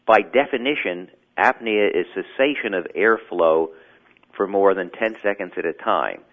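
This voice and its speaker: A male doctor answering interview questions on the phone in a measured, explanatory way. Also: low voice. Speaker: doctor, guest. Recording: phone line.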